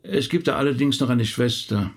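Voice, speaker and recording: A man's voice: mid-range voice, moderate pace, breathy edge, close to an everyday voice. A male actor playing a middle-aged rabbi in a naturalistic radio drama, thoughtful, talkative and a little hesitant as he recounts family history. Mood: candid, reminiscing. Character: thoughtful male rabbi recounting his late relative's life.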